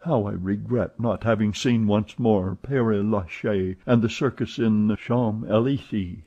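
A man voicing an excitable, chatty young French manservant who talks eagerly and protests often, in a dull lo-fi recording. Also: fairly low pitch. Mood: excited. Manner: eager, exclamatory, quick to protest.

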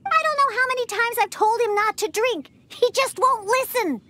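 Very high-pitched sassy little cat girl. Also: moderate pace, pushed and bright. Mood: exasperated, determined. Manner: huffy, haughty, emphatic delivery. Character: sassy, grumpy cat-eared little girl bartender who scorns drunks. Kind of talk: scripted video game dialogue. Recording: music behind. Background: background game music.

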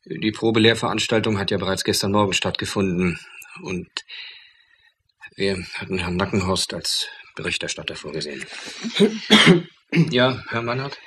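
A pompous, wry committee chairman in a radio play. His lowish voice swings expressively with a bright, forceful edge, over audible background noise. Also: expressive, moderate pace, long pauses. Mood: solemn, formal. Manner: formal, jokily pompous, florid. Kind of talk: radio drama acting.

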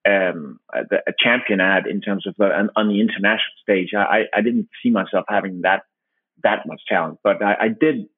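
A man from Denmark, a company founder, answering interview questions in a thoughtful, halting way with frequent restarts. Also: fairly low pitch, slightly rough, dry recording. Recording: dry, tinny phone-like line.

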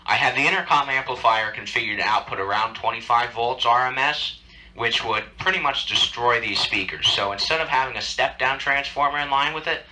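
A man's voice: mid-range voice, a little fast, pushed and bright, low-quality recording. A man giving an informal, matter-of-fact demonstration of equipment as a video host, recorded indoors at home.

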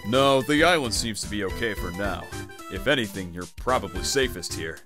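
Young male cartoon guardian creature played by a man: blunt, earnest, quick to exasperation, very animated delivery. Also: fairly low pitch, lightly acted. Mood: businesslike. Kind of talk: cartoon fan dub acting. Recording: music behind the voice.

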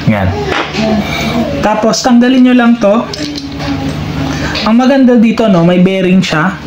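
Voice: mid-range voice, unhurried, dull audio. Filipino DIY vlogger in a noisy, echoey room. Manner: informal, explaining step by step while working with hands. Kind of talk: casual hands-on tutorial talk. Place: indoor room at a tabletop. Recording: roomy, very noisy with clinking parts. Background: loud noise and clinking of handled metal parts.

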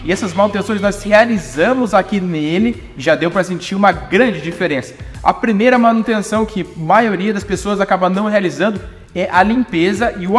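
Insistent high-voiced young man explaining cars. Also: long reverb tail. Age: young adult. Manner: brisk, insistent, repeats key points. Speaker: car maintenance presenter. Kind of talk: explanatory how-to talk. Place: indoor auto workshop.

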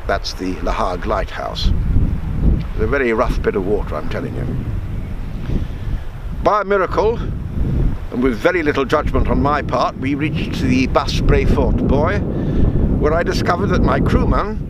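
A man, reading a true story aloud in measured, deliberate phrases. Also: mid-range voice, lively intonation. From Alderney, Channel Islands. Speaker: storyteller reading his book. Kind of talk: read-aloud true story with spoken asides. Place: outdoors on a rocky harbour shore. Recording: outdoor wind and water noise.

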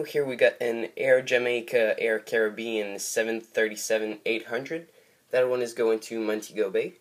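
A man from Montreal with a Quebec French accent, hesitantly showing off his model airplane collection in a casual vlog, full of ums and uhs. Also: mid-range voice. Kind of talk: casual show-and-tell vlog. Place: bedroom with model airport table, indoors.

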